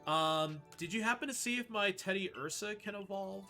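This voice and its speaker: A bright-voiced man talks casually through his own game speedrun. His pitch sits fairly high, and faint game music plays underneath. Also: moderate pace. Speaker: speedrunner playing and commentating. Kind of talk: casual live gameplay commentary.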